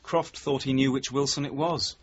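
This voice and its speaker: Casting: male actor for level-headed British detective, measured, dogged, slightly rough voice, 1980s radio play, dull sound. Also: medium pitch, fairly even intonation, natural voice. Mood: quietly accusing. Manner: terse, measured, accusing. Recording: dull, muffled old radio recording.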